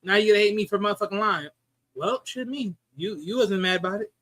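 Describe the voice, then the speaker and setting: A man's voice: high voice, fairly quick, pushed and bright. A man guesting on a casual podcast, blunt, profane and mocking. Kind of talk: casual chat.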